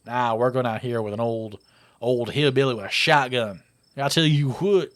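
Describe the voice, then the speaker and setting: Low-voiced man playing a game. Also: moderate pace, fairly bright tone. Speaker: let's play host. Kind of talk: casual game playthrough, reading choices aloud and reacting. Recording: music playing behind the voice.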